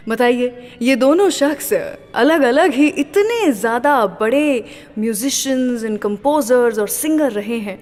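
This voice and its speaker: A woman's voice: a little high, long reverb tail. A woman hosting a classic-music radio show, telling a story and building suspense with questions. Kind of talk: scripted radio presenting.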